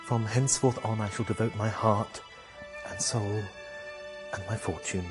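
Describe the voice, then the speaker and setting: A man plays a wealthy count in a radio drama, earnest and bewildered. He speaks at a slow, hesitant pace with searching fervor, and music runs underneath. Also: on the low side, steady tone, close to an everyday voice. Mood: earnest resolve. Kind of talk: British radio drama acting.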